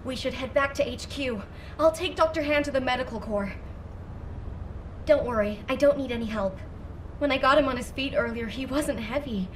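A woman's voice: sits high, normal speed, recorded from a distance, slight background noise, natural voice. A woman playing a kind, confident young female soldier in a video game, caring toward her teammates. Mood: tender, concerned.

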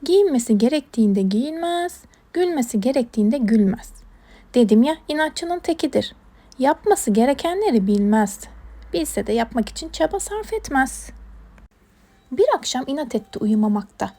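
High-pitched woman storyteller for children. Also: animated, normal speed, warm tone. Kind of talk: expressive scripted children's story narration.

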